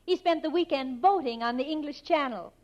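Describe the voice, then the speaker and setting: An actress playing a coy, prim young secretary with genteel, affected diction, in 1940s radio comedy style. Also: female voice, sits high, brisk, natural voice. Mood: chatty, prim. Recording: muffled, band-limited 1940s radio recording.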